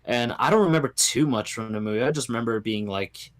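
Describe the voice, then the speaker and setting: A man's voice: medium pitch, quick pace. A man chatting casually as a guest on a podcast. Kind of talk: casual chat.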